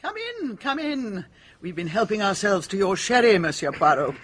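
An actress playing a breezy, confident crime novelist in a radio drama. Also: female voice, medium pitch, big pitch swings, normal speed, a little hiss or hum, close to an everyday voice. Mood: welcoming.

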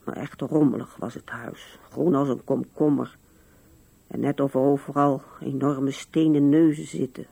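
A woman's voice: very deep voice, fairly even intonation, measured pace, warm tone, heavily acted. An older actress playing an old widow in a radio drama, musing to herself with resignation at her husband's grave. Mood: mildly grumbling. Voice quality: husky, creaky. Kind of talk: 1970s radio drama monologue.

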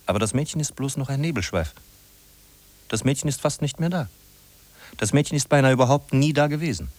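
A man's voice: medium pitch, lively intonation, fairly quick, a little mellow. A man narrating a 1950s radio play in a solemn, declamatory, emphatic scripted style.

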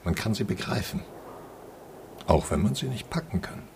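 A man's voice: fairly low pitch, expressive, normal speed, slight background noise. An actor in a radio drama playing a philosophical man who muses earnestly and reflectively. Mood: musing. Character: musing, philosophical man pondering truth, time and nature.

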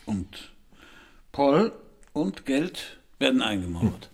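A husky, wry private detective. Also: male voice, medium pitch, very animated, slow, a little mellow, heavily acted. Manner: wry, casual questioning. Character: wry male private detective, curious and cheeky, recovering. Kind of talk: radio crime drama dialogue.

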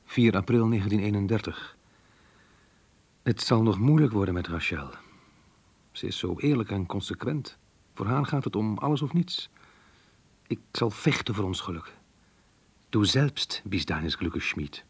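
An actor playing an earnest, troubled married man in love in a radio drama, speaking in a measured, confessional way. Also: lowish voice, animated, husky, dry recording, heavily acted. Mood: resolute.

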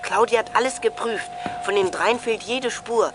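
A man's voice: high voice, normal speed, natural voice. A male actor playing an alert young detective giving radio reports in a children's audio drama. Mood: alert reporting. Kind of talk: children's radio play acting. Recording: clear noise behind the voice.

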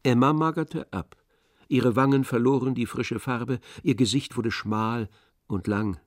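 A man narrates a classic novel as radio drama. His voice is medium in pitch and recorded close and dry, delivering scripted literary prose. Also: fairly even intonation, unhurried, dry recording.

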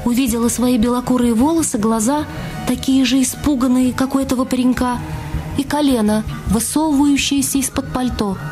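A woman narrates a dramatised novel in a slightly high voice. Her delivery is scripted, literary storytelling for radio. Music plays clearly behind her. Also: fairly even intonation, brisk. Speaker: narrator and reader in a radio play. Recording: music under the voice.

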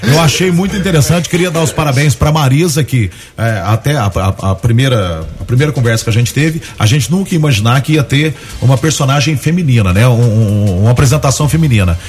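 A man's voice: medium pitch, expressive, quick pace, hardly any pauses, a little mellow. Hesitant man with a cold. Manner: hesitant, many filler restarts. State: has a cold. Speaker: comedian guest. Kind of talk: radio studio interview.

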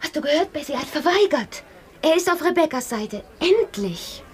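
An actress playing a spirited, mocking gentlewoman in a radio drama, teasing and sardonic. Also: sits high, big pitch swings, moderate pace. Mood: teasing mockery. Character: spirited, mocking young gentlewoman with a sharp tongue.

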